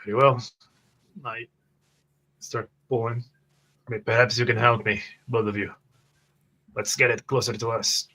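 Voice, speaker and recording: A man's voice: medium pitch, little pitch movement, measured pace, pauses often, bright, forceful tone, rough recording. A man playing a tabletop roleplaying game, describing his character's actions in a hesitant, thinking-aloud way. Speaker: player.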